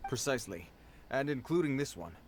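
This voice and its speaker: An actor playing an earnest, dutiful town guard in an anime video game, speaking formally and a little awkwardly. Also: male voice, mid-range voice, animated, a little fast, a little hiss or hum, close to an everyday voice. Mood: flustered, resigned. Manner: formal, stately wording.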